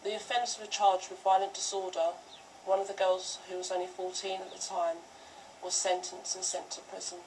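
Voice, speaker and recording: A middle-aged British woman giving a measured testimonial, as a mother and campaigner. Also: medium pitch, flat delivery. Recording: very noisy, distant screen playback.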